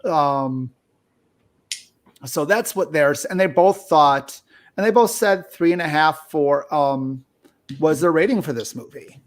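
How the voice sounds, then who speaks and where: A man's voice: fairly high pitch, a little slow. A man hosting a podcast, talking casually as he discusses and reviews films. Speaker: podcast host.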